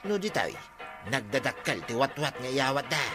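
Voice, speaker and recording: Sharp-voiced, food-greedy village man. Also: medium pitch, animated, moderate pace. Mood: eager, sly. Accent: Ilocano. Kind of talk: Ilocano radio comedy drama acting. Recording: music under the dialogue.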